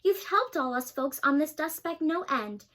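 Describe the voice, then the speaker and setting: A woman's voice: high-pitched, lively intonation, normal speed, bright, forceful tone. A young woman voicing a tiny, grateful male mayor who speaks in a piping voice. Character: tiny cheerful male mayor of a speck town.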